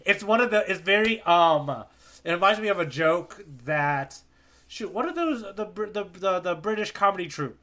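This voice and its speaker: A man's voice: high voice, animated, moderate pace, sharp tone. A man co-hosting a podcast in informal, casual chat, circling around his point with repeated words. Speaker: podcast co-host.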